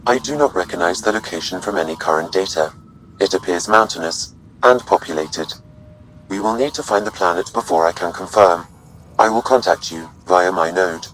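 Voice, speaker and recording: Very deep, formal ship AI. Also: male voice, a bit bright, a little hiss or hum, poor audio. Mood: matter-of-fact. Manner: formal, measured. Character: calm male ship AI, formal and precise.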